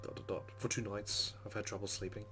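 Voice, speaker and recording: A man's voice: lowish voice, level tone, a little fast, pushed and bright, a little hiss or hum. A man hosting a let's play, reading game narration aloud dramatically and adding muttered, sweary asides. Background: game music.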